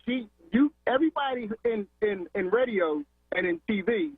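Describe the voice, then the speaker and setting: A high-pitched man rants over a tinny phone line with a husky edge to his voice. He is from Philadelphia, calling into a talk show, and he stacks up repeated words and rhetorical questions as he presses his point. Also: animated, moderate pace, no echo. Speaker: caller. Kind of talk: call-in radio rant.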